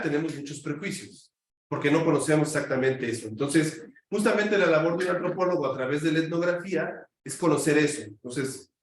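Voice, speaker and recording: A male teacher with a slightly raised pitch talks informally, gently urging his students to gather round. He is picked up from across an echoey classroom, with a faint hum of ambience behind him. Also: recorded from a distance. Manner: informal, coaxing students to come closer. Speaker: university teacher, host of the session. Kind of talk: casual classroom hosting. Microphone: far room mic.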